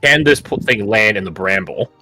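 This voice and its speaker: A man's voice: on the low side, expressive, normal speed, bright, forceful tone, soft background music, poor audio. A man with a New York accent chatting casually and informally as a player in a tabletop game. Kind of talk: casual group game chat.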